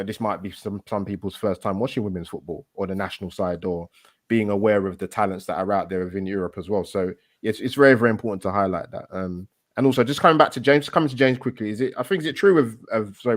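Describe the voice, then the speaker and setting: Low voiced guy rattling along fast, dry close sound, lots of ums. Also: no echo. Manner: rapid, hesitant, lots of fillers and restarts. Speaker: co-host. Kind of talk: casual live-stream chat.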